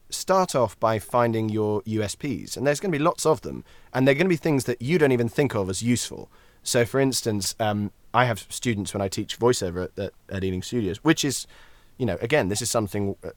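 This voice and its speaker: A man's voice: on the low side, dry recording. A man chatting as a podcast guest, rambling and jokey, often interrupting himself as he gives anecdotes and advice. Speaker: guest, voice actor and voiceover tutor.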